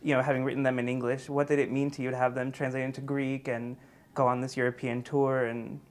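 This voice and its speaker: A man's voice: mid-range voice, level tone, quick pace. A man in his thirties interviewing a guest in a studio, rephrasing his long questions as he asks them. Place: dark TV studio, seated.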